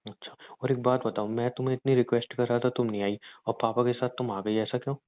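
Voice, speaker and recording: A man's voice: medium pitch, little pitch movement, fast talker, lightly acted. Man playing a pleading young husband, phone-like sound. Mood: hurt, sulky. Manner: coaxing, insistent, aggrieved. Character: pleading, sulky young husband wooing his wife. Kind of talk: staged intimate phone-call style conversation. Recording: thin, phone-like narrowband audio.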